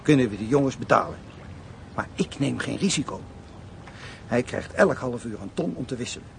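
A gravelly male crime boss in a radio thriller, mid-pitched, with faint noise behind. He sounds blunt and scheming, cautious yet ruthless. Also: lively intonation, normal speed. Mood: cold scheming. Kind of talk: crime radio drama acting.

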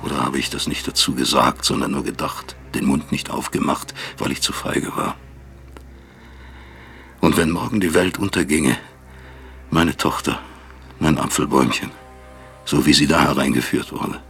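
An actor playing a remorseful, self-accusing father who narrates a radio drama in a gravelly, husky voice, reflective and bitter. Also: extremely low pitch, moderate pace, pauses often, a bit bright, faint music behind, heavily acted. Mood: haunted, tender.

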